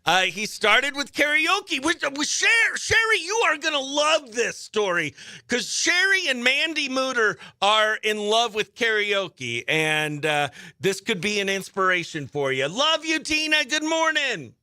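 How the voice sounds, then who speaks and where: American guy doing morning country radio, super bright forceful voice, chatty and excitable, really dry sound. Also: very high-pitched, measured pace, clean tone, no echo. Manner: exuberant, playful, effusive with exclamations and greetings. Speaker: morning radio host and comedian.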